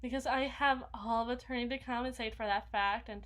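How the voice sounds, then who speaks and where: A woman's voice: fairly high pitch, fairly even intonation, fairly quick, fairly bright tone, lightly acted. A woman playing a flustered, needy, socially awkward young vampire in an intimate ASMR roleplay, stammering and slipping into whispers. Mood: flustered, pleading. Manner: stammering, breathy asides, dropping into whispers.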